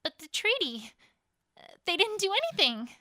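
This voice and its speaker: A woman playing a proud, conflicted pony queen, telling her story in first person in a dramatic, expressive fan fiction reading. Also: very high-pitched, moderate pace, no echo, studio quality, exaggerated voice. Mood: defiant, alarmed.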